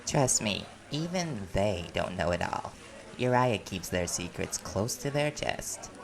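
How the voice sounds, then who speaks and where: Man playing a low, creaky-voiced flirty reporter. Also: big pitch swings, measured pace, a crowd in the background, heavily acted, rough recording. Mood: easygoing. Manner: playful, teasing. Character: easygoing, flirtatious reporter, smooth sweet talker. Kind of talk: audio roleplay acting.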